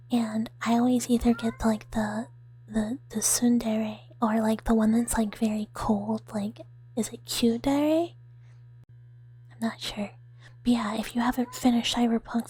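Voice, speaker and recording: A woman streaming ASMR chat in a very breathy, half-whispered voice that sits slightly high. She rambles playfully with her viewers and slips into mock threats, keeping it soft throughout. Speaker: VTuber streamer playing a mouse character.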